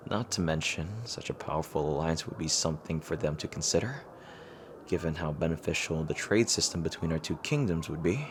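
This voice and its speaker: Casting: male actor as lovestruck rival king, low-pitched, hesitant and sincere, intimate fantasy romance audio drama, noticeable background noise. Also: lively intonation. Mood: earnest, hopeful. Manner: hesitant, halting, earnest. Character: earnest lovestruck rival king proposing to an enemy knight. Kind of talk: romantic audio roleplay acting, intimate ASMR.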